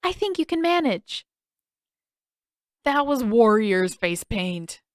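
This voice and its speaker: A woman's voice: a little high, very animated, slow, no echo. An indignant teenage warrior boy acted by a woman narrator in an audio story. He whines and sputters his protests, and the sound is very dry.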